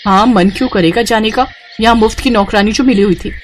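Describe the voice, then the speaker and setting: A woman voicing a long-suffering, overworked young daughter-in-law in an animated family story. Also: on the high side, brisk, a little hiss or hum, close to an everyday voice. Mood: grumbling. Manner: polite aloud, grumbling to herself.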